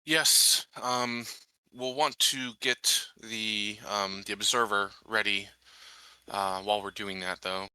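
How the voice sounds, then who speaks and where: A man playing a tabletop roleplaying game, thinking aloud casually in halting phrases. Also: lowish voice, fairly even intonation, sharp tone, smooth, dry recording, low-quality recording.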